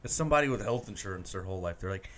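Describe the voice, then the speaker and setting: A man's voice: sits low, animated, rapid-fire, a bit bright. A young man in casual, crude banter, joking and laughing often.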